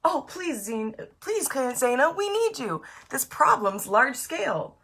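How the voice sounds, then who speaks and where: Woman as a high, pleading blue whale. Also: sing-song, takes it slowly, sharp tone, breathy edge, full character voice. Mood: pleading distress. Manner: pleading, urgent. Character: distressed pleading female blue whale friend. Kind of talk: children's picture-book character reading.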